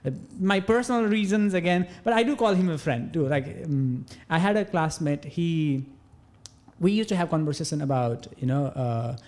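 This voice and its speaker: A Nepali-accented man in his thirties, speaking English as a second language in a relaxed interview. His voice sits a bit high for a man yet sounds mellow and warm. He thinks aloud, hesitating and circling back as he speaks. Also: normal speed. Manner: thoughtful, hesitant, many fillers like "uh" and "you know". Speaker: podcast guest. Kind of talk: casual interview conversation.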